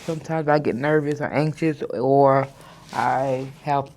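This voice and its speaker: A woman in her thirties chatting casually to her followers in a skincare vlog. She stutters at times, mispronounces some words, and slows her speech down on purpose. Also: rumbling low, fairly even intonation, a little mellow, slight background noise. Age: 30s. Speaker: vlogger. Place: hotel room, indoors.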